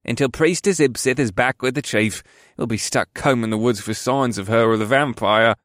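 A man's voice: medium pitch, moderate pace, fairly bright tone, dry recording, studio quality, natural voice. A man voicing crude, cocky young male elf soldiers who grumble and sneer, in a fantasy audiobook. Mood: grumbling.

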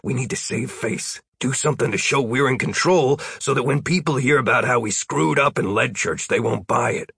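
A man's voice: medium pitch, normal speed, vocal fry, few pauses, a bit bright, husky, no room sound, studio quality, full character voice. A man voicing a sly older male wizard in an audiobook, breezy, folksy and teasing as he talks persuasively. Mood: persuasive, scheming.

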